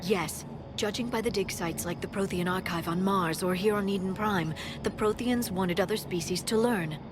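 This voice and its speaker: A woman's voice: medium pitch, moderate pace, noisy background, close to an everyday voice. A woman playing an earnest, scholarly, hopeful alien scientist in a video game. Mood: earnest, focused. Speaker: scientist and expert.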